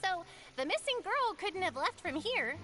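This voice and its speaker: A woman's voice: very high voice, very animated, normal speed. A woman voicing a dutiful, earnest female Melusine guard in a game. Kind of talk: game cutscene voice acting. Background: game music.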